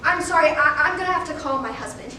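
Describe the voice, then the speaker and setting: A woman playing an anxious, apologetic working mother in live reader's theater, with a flustered, halting delivery. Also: lightly acted. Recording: distant, very echoey room, noisy rumble.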